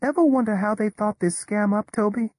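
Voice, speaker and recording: An actress playing a blunt, world-weary woman who talks in a flat, neutral Texas drawl. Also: medium pitch, fairly quick, no echo, studio quality, lightly acted. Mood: sardonic. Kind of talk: audio drama performance.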